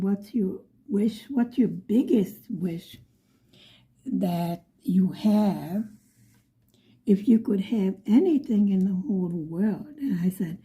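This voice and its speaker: A middle-aged woman from Buffalo, New York, telling a story in a soft, often whispery voice. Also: mid-range voice. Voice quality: frequently whispery, breathy delivery. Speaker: vlogger.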